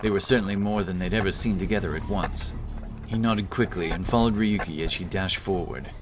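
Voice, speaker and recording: A man's voice: low-pitched, a little fast, background noise. A man narrating a fantasy battle story in a dramatic, scripted storytelling style. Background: cinematic action music.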